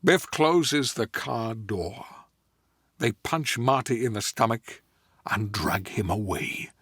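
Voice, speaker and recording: Man doing a slow, bright, grand narrator. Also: lowish voice, lively intonation, husky, dry recording, studio quality, full character voice. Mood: measured. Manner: deliberate, dramatic. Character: grand, genteel stage-actor narrator reading screenplay directions. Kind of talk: scripted narration, comic impression.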